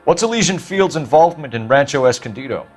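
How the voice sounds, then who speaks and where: An actor playing an earnest, dogged young American police detective in a video game, clipped and formal in manner. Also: male voice, a little high, lively intonation, fast talker, soft background music. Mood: accusing, insistent. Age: young adult. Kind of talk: dramatic video game acting.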